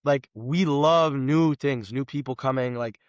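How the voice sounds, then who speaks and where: A man's voice: mid-range voice, measured pace, no room sound, dull audio. A man in his twenties from the United States, a podcast guest talking casually in an emphatic, rambling way full of "like" fillers. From United States, Los Angeles. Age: 20s. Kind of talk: casual conversation.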